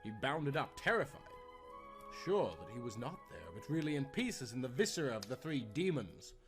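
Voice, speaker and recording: A man's voice: medium pitch, big pitch swings, moderate pace. A man reading a scripted tale as a theatrical, dramatic storyteller. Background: music.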